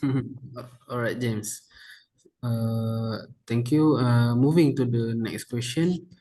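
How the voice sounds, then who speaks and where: A man's voice: mid-range voice, steady tone, slow, dark tone. A young man interviewing a fellow student, reading out prepared questions in a casual way with filler sounds. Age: young adult. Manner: casual, adds fillers, calls the guest bro.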